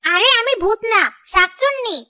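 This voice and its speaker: A performer voicing a greedy, scheming female river ghost who gloats and threatens in an animated story. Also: very high-pitched, moderate pace. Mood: menacing. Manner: gloating, menacing, talks to herself. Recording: music behind the voice.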